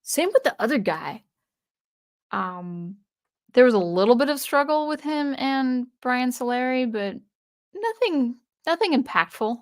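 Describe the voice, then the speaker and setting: A woman's voice: high-pitched, animated, a little slow. A woman from the US Northwest hosting a casual livestream, reading viewer comments aloud and answering them in a chatty way with frequent restarts. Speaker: livestream host.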